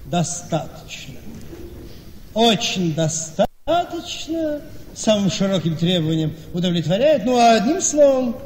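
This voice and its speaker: An actor playing a calculating, self-satisfied handsome gentleman in a theatrical radio play. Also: high-pitched, very animated, unhurried. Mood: gloating, impressed. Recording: noisy, reverberant hall-like radio play recording.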